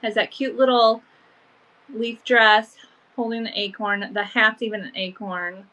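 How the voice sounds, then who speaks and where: A woman's voice: a little high, little pitch movement, measured pace, pushed and bright, dull audio. Chatty, sniffly woman selling vintage. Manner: chatty, lots of fillers like "um" and "like". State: sniffly from allergies. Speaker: online vintage seller. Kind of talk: casual live-sale chat.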